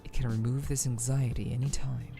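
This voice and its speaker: An earnest male counselor from a story game, played by an actor. He speaks in a measured, reflective manner with plenty of fry in his mid-pitched voice. Music plays clearly behind him. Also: flat delivery, natural voice. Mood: earnest, uneasy. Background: background music.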